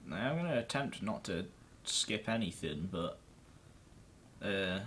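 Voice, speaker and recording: A man's voice: deep voice, lively intonation, measured pace, fairly bright tone, a little hiss or hum. A man giving casual, offhand let's play commentary, muttering to himself and swearing now and then. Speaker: gamer, let's play host.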